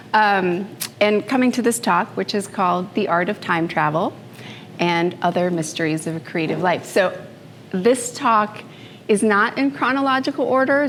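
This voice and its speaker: A woman in her fifties from the Philippines, giving a personal talk to a live audience in an unhurried, conversational way with fillers and asides. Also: mid-range voice, slight background noise. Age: 50s. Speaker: speaker at a morning talk. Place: event room before an audience. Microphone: handheld microphone.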